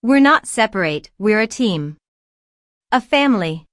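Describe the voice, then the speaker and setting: A woman's voice: medium pitch, animated, unhurried, fairly bright tone, no room sound, clean studio sound. A woman voicing a bold, loyal young bird guardian in a children's cartoon, determined and declarative. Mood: united. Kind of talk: children's cartoon story acting.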